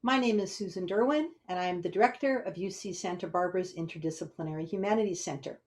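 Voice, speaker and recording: A woman speaks as an event host and director, giving a formal, prepared welcome in a measured way at an online webinar. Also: on the low side, a little mellow. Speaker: humanities center director introducing an event.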